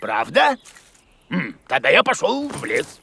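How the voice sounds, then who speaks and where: A man's voice: a little high, huge pitch swings, unhurried, creaky, bright, forceful tone, breathy edge, dry recording, full character voice. A man voicing a grumpy, muddle-headed bearded creature who teaches with bluster in a children's cartoon. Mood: confident, blustering. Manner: blustery, exclamatory, mock-scolding.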